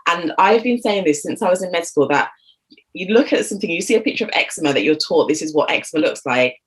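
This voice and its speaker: A woman's voice: fairly low pitch, lively intonation, fast, poor audio. A woman in her thirties, a GP hosting an interview on a video call, chatty and rambling with lots of fillers. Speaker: host, GP. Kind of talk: casual interview chat.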